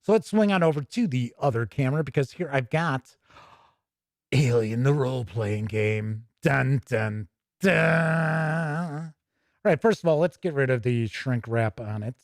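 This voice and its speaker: A man's voice: mid-range voice, expressive, a little slow, no echo, clean studio sound. A middle-aged American man hosting a tabletop gaming livestream, chatty and conversational with playful asides. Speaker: host of a tabletop gaming show. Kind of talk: casual livestream commentary.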